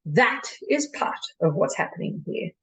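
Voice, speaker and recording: A woman performing a stage monologue as a disillusioned colonial mission doctor, bitterly candid and deliberate, with dramatic pauses. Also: on the low side, big pitch swings, fairly bright tone. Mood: bitterly ironic.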